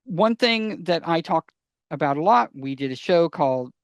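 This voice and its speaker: A man with a slightly high voice co-hosts a casual fan discussion. He talks conversationally, straight to the listeners. The recording is very dry, with no room sound around him. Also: animated, normal speed, dry recording, dull audio. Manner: conversational, addresses listeners directly. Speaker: podcast co-host.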